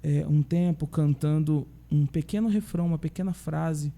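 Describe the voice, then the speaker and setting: A man, a pastor with a Brazilian accent, telling stories in a relaxed conversation and often checking in with tag questions. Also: a little high, normal speed, vocal fry. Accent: Brazilian Portuguese. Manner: chatty storyteller, frequent tag questions. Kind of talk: casual podcast conversation.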